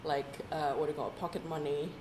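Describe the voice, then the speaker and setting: Casting: Indonesian woman, 30s, American-sounding English, lowish voice, chatty guest, echoey room. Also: quick pace, background noise. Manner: chatty, full of fillers and lively retold quotes. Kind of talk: casual conversation.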